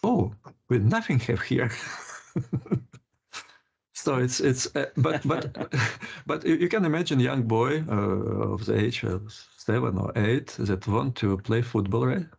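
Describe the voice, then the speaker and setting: Older man, from Lithuania, husky raspy voice, hesitant restarts, lo-fi sound. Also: medium pitch, expressive, darkish tone. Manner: halting, many restarts and laughs. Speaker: interview guest, criminologist. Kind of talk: casual interview.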